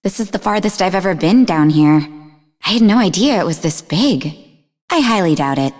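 An actress voicing a sarcastic, sharp-tongued, bookish young half-demon woman in scripted video game dialogue, with a dry delivery. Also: fairly low pitch, animated, moderate pace, long reverb tail, faint music behind. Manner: dry, sardonic.

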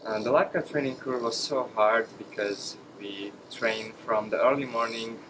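A young man with a Slovak accent speaks in a mid-pitched voice. He is recorded outside, with clear background noise. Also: fairly even intonation, moderate pace. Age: 20s. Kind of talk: on-camera testimonial. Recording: noisy outdoor pool area.

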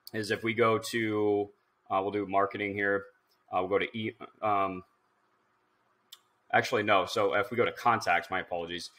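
A man in his thirties presenting a casual screen-share software tutorial, informal and instructional, thinking aloud as he goes. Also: on the low side.

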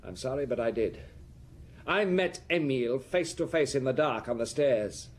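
An actor playing a remorseful aristocratic prodigal son in British radio drama, formal and confessional, with a British accent. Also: male voice, mid-range voice, animated, moderate pace, lightly acted. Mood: ashamed, confessional. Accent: British English. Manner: formal, confessional, self-reproaching.

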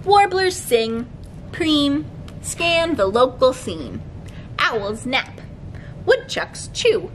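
A young woman leading a children's storytime, reading aloud with deliberate, clearly articulated phrasing as a librarian would for small kids. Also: sits high, big pitch swings, slight background noise. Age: young adult. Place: library room with bookshelves, indoors.

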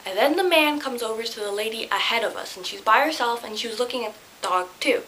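A young woman telling a personal story casually to camera in an animated, slightly flustered way, recorded in a bedroom on a camera a short distance away. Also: on the high side, quick pace. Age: young adult. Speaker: vlogger. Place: small bedroom, indoors. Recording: hissy home camera recording.

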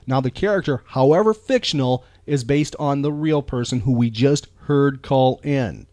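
A man hosting a casual talk show, speaking earnestly and haltingly, often repeating words as he goes. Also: medium pitch, a little mellow, no room sound. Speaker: podcast host.

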